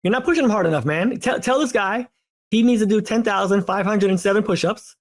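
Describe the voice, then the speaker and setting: A man with a notably high voice hosts a podcast in loose, casual conversation. He rambles and repeats himself, and his stories come out in eager, stop-start bursts. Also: brisk. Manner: animated, rambling, repeats words. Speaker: podcast host. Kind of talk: casual chat.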